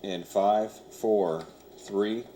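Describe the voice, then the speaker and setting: A man's voice: fairly low pitch, fairly even intonation, extremely slow, background noise. A man working as a television director, calling live cues and countdowns in short, clipped commands. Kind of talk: live control-room cue calling. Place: TV control room.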